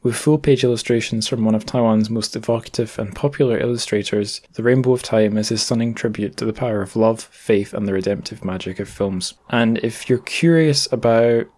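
A man's voice: fairly low pitch, brisk, hardly any pauses, darkish tone. A man hosting a podcast in a casual, chatty way, with wry, self-deprecating asides. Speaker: podcast host.

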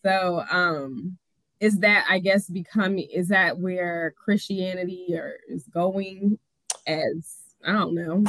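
A woman's voice: fairly low pitch, slow pace, a bit bright, dry recording, rough recording. A woman co-hosting a casual podcast, talking thoughtfully and hesitantly as she searches for words. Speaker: podcast co-host.

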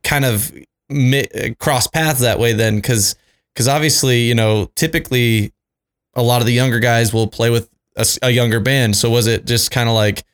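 A man's voice: mid-range voice, steady tone, moderate pace. A man of thirty, hosting a casual interview and asking questions in a friendly, enthusiastic way. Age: 30s. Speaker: interviewer, podcast host.

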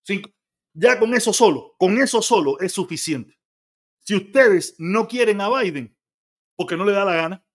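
A man's voice: high voice, lively intonation, moderate pace. A Cuban man hosting a live stream, reading viewer comments aloud and answering them bluntly, with frequent swearing. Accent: Cuban Spanish. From Cuba. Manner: blunt, argumentative, swears freely. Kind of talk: casual live stream chat.

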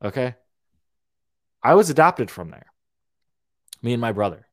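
A man's voice: mid-range voice, very animated, unhurried, a bit bright, no room sound. Young man, podcast host, heated monologue with repeats and profanity; dry, close recording. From Romania. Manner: heated, ranting, repeats and restarts words, swears freely. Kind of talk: opinionated monologue.

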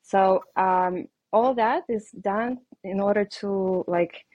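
A woman's voice: mid-range voice, takes it slowly, darkish tone, no echo, rough recording. Russian-accented woman, hesitant and dry-recorded. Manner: hesitant, many ums, laughs lightly. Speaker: guest coach. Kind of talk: casual interview answers.

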